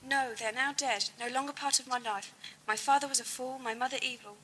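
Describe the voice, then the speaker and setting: A high, bright-voiced young woman of a space colony, as an actress plays her in a sci-fi audio drama. She is blunt, suspicious and coldly detached. A faint hum sits on the recording. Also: fairly even intonation, brisk, low-quality recording. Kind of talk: science fiction audio drama acting. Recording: faint hum and noise, amateur tape.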